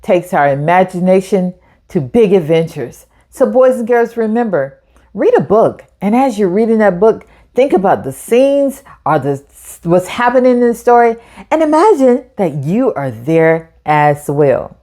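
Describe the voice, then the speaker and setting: A woman's voice: mid-range voice, big pitch swings, dark tone. A woman hosting a children's storytime, speaking directly and encouragingly to young listeners as she reads aloud. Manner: encouraging, addresses children directly, deliberate phrasing. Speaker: storytime host. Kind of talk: children's read-aloud narration.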